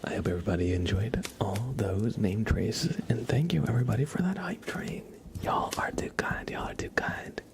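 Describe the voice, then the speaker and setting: A man whispers in a breathy, soothing ASMR style as he chats to a livestream audience. When any voice comes through it is very low, with a gravelly creak. The delivery is soft and casual. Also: normal speed, hardly any pauses. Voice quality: breathy whisper, often unvoiced.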